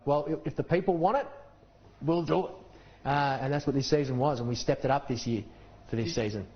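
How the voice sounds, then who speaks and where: A man's voice: medium pitch, a little fast, lo-fi sound. An Australian man in a casual interview, telling stories animatedly and quoting people as he goes. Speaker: cartoon creator and voice impressionist.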